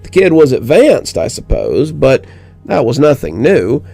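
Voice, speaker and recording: A man narrating a scripted first-person horror story in a measured storytelling manner. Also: medium pitch, very animated, gravelly, dark tone, dry recording, soft background music.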